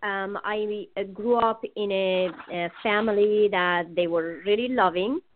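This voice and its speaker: A middle-aged woman from Iran with an Iranian accent, a native Persian speaker, telling her life story haltingly as an interview guest. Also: medium pitch, a little hiss or hum. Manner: halting, searching for words. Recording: narrow tinny phone-line sound.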